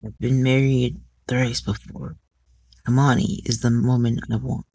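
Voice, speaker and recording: A cool, distant man of wealth, as acted by a woman narrator, curt and measured in an audiobook drama. The lines come slowly, in a very dry recording. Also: a bass voice, level tone, mellow, no echo, exaggerated voice. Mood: matter-of-fact.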